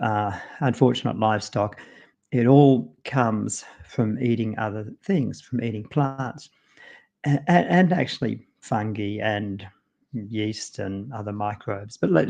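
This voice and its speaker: A man's voice: on the low side, creaky, a little mellow. A man with an Australian accent casually explaining nutrition on a live stream, loose and full of ums and you-knows. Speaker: co-host presenting on nutrition.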